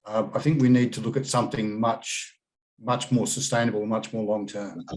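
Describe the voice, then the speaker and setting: A man's voice: on the low side, steady tone, normal speed, darkish tone. A man giving his answer as a candidate at an online forum. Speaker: election candidate. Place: online video call.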